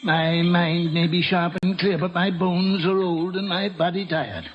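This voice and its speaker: An actor playing a weary, sharp-minded old colonial patriot who speaks in a measured, deliberate way in an old-time radio drama. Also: male voice, high voice, little pitch movement, highs cut off. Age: older.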